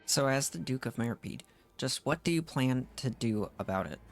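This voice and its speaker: Male game streamer, mid-pitched, casual and puzzled thinking-aloud commentary, faint game music behind. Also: expressive, moderate pace. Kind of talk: casual reactions while playing a story game.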